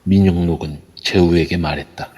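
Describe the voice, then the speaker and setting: A man's voice: deep voice, steady tone, moderate pace, a little mellow. A man reading scripted narration for an audio drama. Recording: long reverb throughout.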